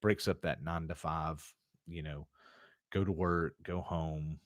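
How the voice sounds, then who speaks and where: A man's voice: a bass voice, fairly even intonation, unhurried, no room sound, clean studio sound. A man co-hosting a casual livestream chat, talking in a rambling, laid-back way full of fillers. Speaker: podcast co-host.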